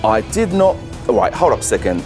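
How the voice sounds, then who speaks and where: A man's voice: a little high, sing-song, brisk, vocal fry, music in the background. A middle-aged man, hosting a show in casual chat. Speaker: host of a comics show. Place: small studio set, indoors.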